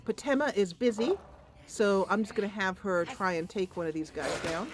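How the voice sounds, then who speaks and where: A woman's voice: mid-range voice, moderate pace, background noise. A woman doing casual gameplay commentary, thinking aloud playfully and talking to the game's characters. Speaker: host of a game playthrough.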